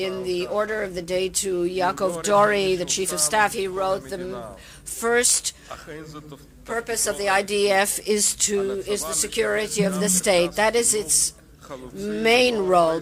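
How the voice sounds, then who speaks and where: A woman giving a live interpretation of a conference interview, with a measured, halting delivery and pauses as she keeps up. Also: medium pitch. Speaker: simultaneous interpreter.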